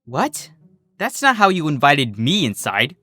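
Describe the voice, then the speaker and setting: A storyteller playing a stern, suspicious female earth pony with a brisk, scolding manner. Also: medium pitch, big pitch swings, bright, forceful tone, dry recording, exaggerated voice. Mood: annoyed.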